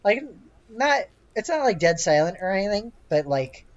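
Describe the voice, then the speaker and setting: A woman's voice: mid-range voice, big pitch swings, normal speed, no room sound. A woman casually narrating a tabletop roleplaying game as the game master. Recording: dull lo-fi voice recording.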